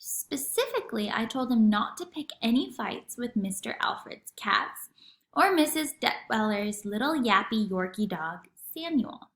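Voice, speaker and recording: A woman reads a children's book aloud like a teacher to her class. Her voice is high and swoops expressively through the sentences. The sound is roomy and echoey. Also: a little slow. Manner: animated, acts out dialogue. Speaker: teacher reading aloud for a lesson.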